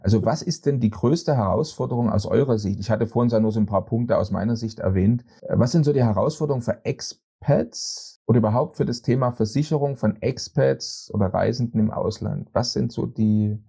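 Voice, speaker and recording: A man's voice: medium pitch, a little fast, vocal fry, hardly any pauses, mellow, husky. A man hosting a podcast interview, asking long chatty questions with many hesitant fillers. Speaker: podcast host.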